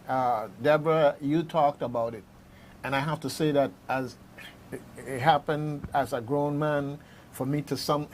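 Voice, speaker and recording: A man hosting unscripted talk radio in a halting, rambling way, repeating words as he goes. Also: medium pitch, a little hiss or hum. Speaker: radio host.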